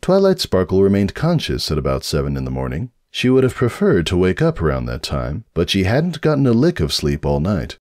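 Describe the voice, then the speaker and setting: Animated male storyteller, wry and theatrical. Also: low-pitched, no room sound, studio quality. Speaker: narrator, fanfiction reader. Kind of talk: dramatic scripted comic narration.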